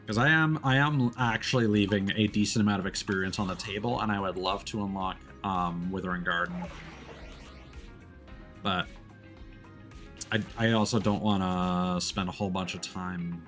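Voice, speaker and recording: A man's voice: sits low, moderate pace, a bit bright. A man giving casual live-stream game commentary, talking in a hesitant, rambling way. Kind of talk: casual gameplay commentary, reading game dialogue aloud. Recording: music behind the voice. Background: game music.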